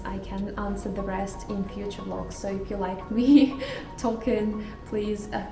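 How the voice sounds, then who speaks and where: A woman in her twenties from Kazakhstan, Russian as her first language, chatting casually in a vlog Q&A at home with thoughtful pauses and fillers. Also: mid-range voice, gravelly. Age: 20s. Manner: thoughtful, frequent fillers and restarts. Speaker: home baker vlogger. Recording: roomy echo, mic at a distance, music behind. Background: background music.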